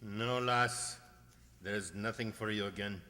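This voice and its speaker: A man's voice: lowish voice, fairly even intonation, slow pace, bright, forceful tone. A man playing a kindly, chatty country postman in a radio play, with folksy turns of phrase. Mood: gently reassuring. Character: kindly rustic village postman, familiar and chatty. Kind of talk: radio mystery play acting. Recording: reverberant hall-like sound.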